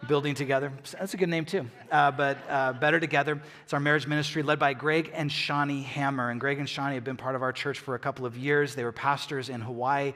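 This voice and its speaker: A man pastor making halting announcements from a church stage, full of fillers. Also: medium pitch, steady tone, a bit bright.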